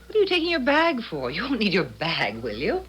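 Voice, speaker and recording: An actress in a 1970s radio drama playing a proud, blunt, suspicious doctor's wife with a tart, curt manner. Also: female voice, medium pitch, huge pitch swings, fairly quick, a bit bright, a little hiss or hum. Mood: brisk.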